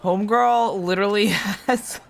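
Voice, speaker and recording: A man streaming a game live, chatting casually, excitable and laughing often, loud when he gets excited. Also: high voice, moderate pace, creaky, slight background noise. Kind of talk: casual livestream chat while gaming.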